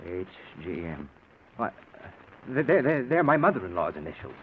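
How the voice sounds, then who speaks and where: Jittery guilty husband, lowish voice jumping all over, polite n stammery, old radio. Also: male voice, sing-song, moderate pace. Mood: flustered, evasive. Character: nervous, evasive husband hiding a guilty secret. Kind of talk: 1940s radio suspense acting. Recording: tinny, hissy old radio broadcast.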